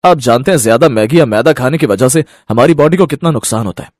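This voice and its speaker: A man's voice: mid-range voice, animated, quick pace, darkish tone, dry recording, close to an everyday voice. A man plays a hospital doctor in a cartoon moral tale. He sounds grave and explanatory, with a serious delivery in a very dry recording.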